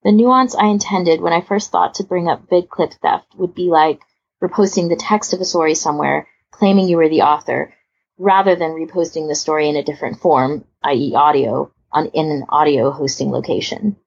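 A woman's voice: low voice, moderate pace, creaky, slightly rough, highs cut off. A woman co-hosting a podcast in casual discussion, with a conversational, hedging, agreeable manner. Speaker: podcast co-host. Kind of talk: casual discussion, reading out listener comments.

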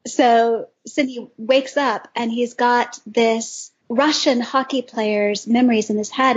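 A woman's voice: on the high side, husky. A woman chatting casually on a podcast panel, giving recommendations in an enthusiastic, gushing way, on dull audio. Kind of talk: casual chat, recommending fan audio stories.

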